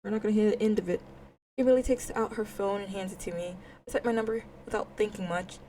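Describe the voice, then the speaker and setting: A woman's voice: mid-range voice, fairly even intonation, quick pace, warm tone, slight background noise. A woman streamer doing casual, playful let's-play commentary and reading a visual novel aloud in an informal, self-deprecating way. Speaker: gaming streamer.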